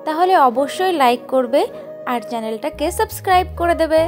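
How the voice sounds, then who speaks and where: A woman narrates a children's cartoon story in a high voice. She reads from a script as a storyteller, with music playing clearly beneath her. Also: animated, a little fast.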